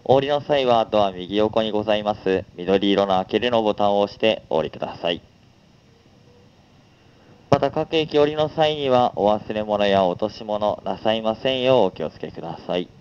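A man's voice: on the low side, animated, normal speed, a little mellow, smooth. A man speaking as a train conductor, making a polite, formal live announcement. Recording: dull onboard PA with faint noise.

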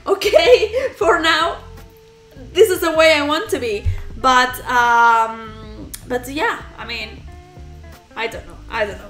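Animated woman in her thirties, high voice. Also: echoey room. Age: 30s. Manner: animated, gestures a lot, laughs often, halting restarts. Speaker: reaction video host. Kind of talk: casual storytelling to camera. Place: small indoor room at home, plants behind.

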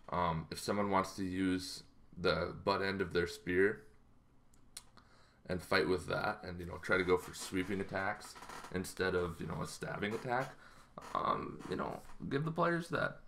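A man in his thirties giving a casual, rambling explainer to camera, halting as he goes, in a small bedroom. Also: sits low, bright, forceful tone. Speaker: host of a tabletop roleplaying channel.

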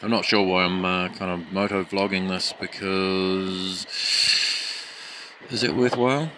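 A man's voice: low-pitched, fairly even intonation, a little slow, a bit bright. A man chatting casually as a motovlogger, rambling and self-deprecating, talking inside a helmet while riding. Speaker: motovlogger reviewing action cameras. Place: outdoors on a motorbike, inside a helmet. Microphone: helmet microphone with foam fluffy. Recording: noisy helmet mic, vehicle and wind noise.

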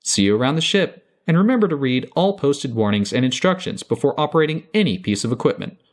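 Lively mid-pitched man reading podcast credits. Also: quick pace. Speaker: podcast host and voice actor. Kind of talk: scripted credits and promo announcement.

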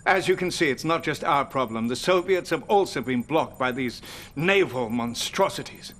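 A man's voice: medium pitch, lively intonation, normal speed, a bit bright, close to an everyday voice. A British man in his sixties playing a grave, theatrical field marshal in a game cutscene, with dramatic military formality. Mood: grave, alarmed. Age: 60s.

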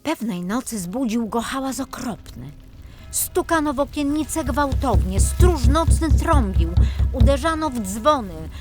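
A woman narrating a children's fairy tale in an expressive, dramatic storytelling manner. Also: high-pitched, unhurried, over loud music. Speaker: lead audiobook narrator.

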